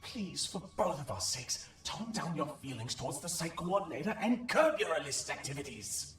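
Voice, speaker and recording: Prim, echoey game creature. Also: male voice, on the high side, very animated, a bit bright, soft background music. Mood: uneasy, admonishing. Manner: formal, polite, measured wording. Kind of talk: video game character acting. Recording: roomy echo, slightly distant.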